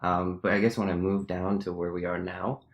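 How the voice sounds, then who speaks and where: A man's voice: low voice, flat delivery, fairly quick. A young man from the United States whose first language is Gujarati, telling rambling stories in a casual podcast chat. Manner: rambling, self-correcting storyteller. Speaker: podcast co-host.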